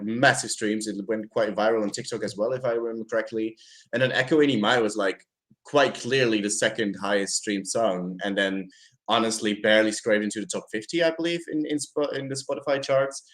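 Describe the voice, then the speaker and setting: A man hosting a casual chat, rambling and hedging with lots of "like" and "I don't know". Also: mid-range voice. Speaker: host.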